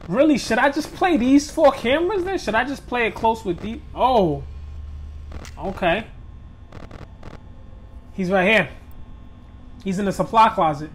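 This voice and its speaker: A man's voice: high-pitched, animated, a little slow, pauses often, slight background noise, low-quality recording. A young man casually narrating his first run of a horror game, joking, swearing and talking straight to his viewers. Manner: loose, joking trash talk with slang and swearing. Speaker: gaming video creator. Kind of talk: live gameplay commentary.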